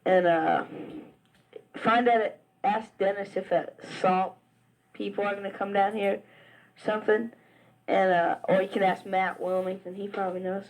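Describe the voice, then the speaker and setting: A man's voice: high voice, fairly even intonation, unhurried, poor audio. A teenage boy from Virginia with a Southern accent talks casually to a friend back home. He rambles from one thought to the next, with plenty of "uh" and false starts, like someone chatting into a tape recorder. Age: teens. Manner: rambling, chatty, full of "uh" and restarts. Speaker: high school wrestler. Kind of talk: casual spoken letter to a friend.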